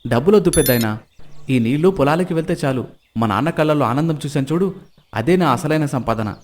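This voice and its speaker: A man voicing a determined young village man, a devoted son in an animated moral story, speaking with earnest resolve. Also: on the high side, fairly quick, natural voice.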